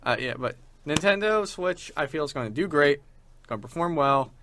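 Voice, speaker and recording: Young dude chatting games on a show, kinda halting, repeats himself, voice bounces up and down a lot. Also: male voice, fairly high pitch, lively intonation, fairly bright tone, a little hiss or hum. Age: young adult. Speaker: college radio show co-host. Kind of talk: casual chat about video games.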